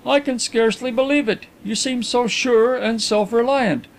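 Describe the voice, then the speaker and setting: A man voicing a proud, excitable gentleman in an audiobook, speaking in stiff, emphatic exclamations. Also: very high voice, normal speed, voice pushed up. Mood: sympathetic, amazed. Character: excitable, proud, touchy gentleman visitor, agitated and bitter. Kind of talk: audiobook character acting.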